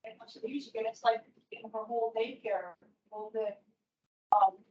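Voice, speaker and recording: A woman's voice: a little high, fairly even intonation, normal speed, warm tone. A woman in the audience talking casually, filling her speech with "like". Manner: chatty, lots of "like". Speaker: audience member. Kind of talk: casual discussion. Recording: roomy distant lo-fi audio.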